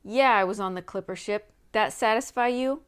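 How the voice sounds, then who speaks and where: Woman voicing a gruff, defiant grizzled sailor. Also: medium pitch, moderate pace, lightly acted. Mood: grudging, boastful. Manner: grunting, uneducated folksy speech. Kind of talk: storybook read-aloud character voice.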